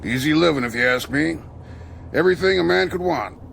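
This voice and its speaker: An easygoing long-haul trucker, folksy and relaxed in his drawl. His voice is deeply gravelly with fry, set slightly high, with faint noise under it. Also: a little fast, exaggerated voice. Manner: folksy, drawling, laid-back. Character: easygoing modern trucker, friendly and talkative.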